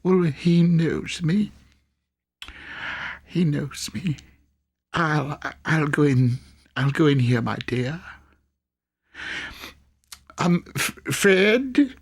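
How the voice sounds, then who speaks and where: A man's voice: on the high side, lively intonation, extremely slow, long pauses, husky, pitched above the performer's own voice, full character voice. A man voicing an old, repentant miser in an audiobook, stammering and repeating himself in breathless exclamations. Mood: flustered, eager. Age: older.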